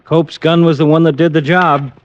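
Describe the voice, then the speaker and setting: A man's voice: fairly high pitch, level tone, normal speed, natural voice. Man playing a brusque police lieutenant, muffled recording. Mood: businesslike. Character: brusque male police lieutenant, matter-of-fact and skeptical. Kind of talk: 1950s radio detective drama acting. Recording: noisy, muffled old radio recording.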